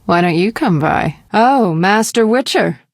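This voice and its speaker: An actress playing a streetwise escort in a fantasy video game, flirtatious yet tender, with a coy, sweet-talking manner. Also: female voice, medium pitch, lively intonation, a little slow, no room sound, clean studio sound. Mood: flirtatious, teasing.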